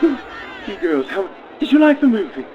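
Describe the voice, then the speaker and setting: A blustery grown-up coaxing kids as a man acts it out in a comedy radio play. The pitch is a little high and jumps around a lot, halting and confiding, then emphatic, with faint noise behind. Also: sing-song, phone-quality sound, some chatter behind. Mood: flustered, bribing.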